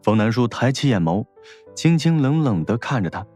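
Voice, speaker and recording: A man's voice: medium pitch, very animated, normal speed, a little mellow, soft background music. A man narrating a scripted audiobook story in a wry storytelling manner.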